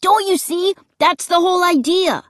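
A woman voicing an excitable, cocky, thrill-loving young boy in a children's audiobook, eager and emphatic. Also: sits high, normal speed, no echo, clean studio sound. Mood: excited, gleeful.

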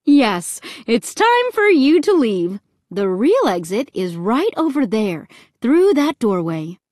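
A woman putting on a high, gushing monster hostess. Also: very animated, takes it slowly, dry recording, studio quality, full character voice. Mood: instructing, cheery. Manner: bright, showy announcer patter. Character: cheery, gushing female monster game show MC.

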